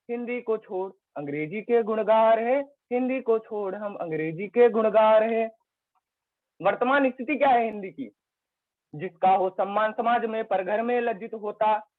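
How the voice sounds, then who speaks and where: A man's voice: sits high, no room sound. A man reciting a poem in a formal, declamatory manner, repeating lines, over an online call. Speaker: poetry recital participant. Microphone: video call mic. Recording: tinny phone-like call audio.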